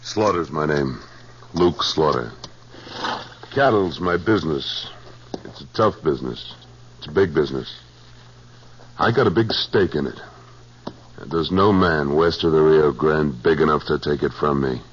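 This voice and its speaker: Deep-voiced, tough western cattleman. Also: a little slow, pauses often, a little hiss or hum. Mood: hard, proud. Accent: American. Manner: terse, unflinching, plain-spoken. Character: tough, self-assured western cattleman and gunfighter. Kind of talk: 1950s radio western acting, first-person narration and dialogue. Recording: dull 1950s radio recording.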